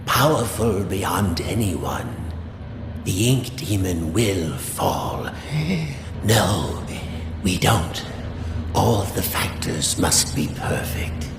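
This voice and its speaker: An actor playing a cold, grandiose villain in a video game, scheming for power with slow, theatrical menace and a gravelly voice. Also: male voice, low-pitched, animated, roomy sound, music in the background, exaggerated voice. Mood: fervent.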